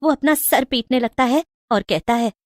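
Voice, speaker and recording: A woman's voice: on the high side, normal speed, dry recording, clean studio sound. A woman storyteller reading a scripted moral tale in a dramatic narrating style.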